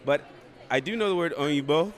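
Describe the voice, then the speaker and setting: An American man, a guest on a red carpet, answering in a thoughtful, measured way with some restarts. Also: fairly high pitch. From United States. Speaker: actor guest. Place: outdoor red carpet event. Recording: outdoor event with music.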